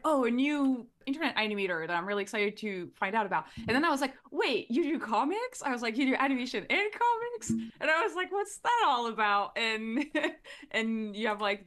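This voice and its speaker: Bubbly young woman, lively pitch. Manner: bubbly, laughs often, lots of "like". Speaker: podcast co-host. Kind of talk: casual chat.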